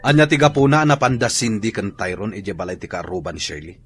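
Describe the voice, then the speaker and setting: An actor playing a cold, unfaithful husband in a radio drama, curt and demanding. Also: male voice, fairly low pitch, steady tone, quick pace, music in the background, close to an everyday voice. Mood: harsh. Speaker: husband character. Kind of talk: Ilocano radio drama acting.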